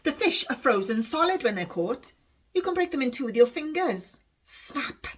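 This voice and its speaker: A woman voicing an excitable, boastful young Welsh girl in a storybook, eager and dramatic as she tells tall tales. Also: high voice, moderate pace, slightly rough, pitched above the performer's own voice, full character voice. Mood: playful boasting.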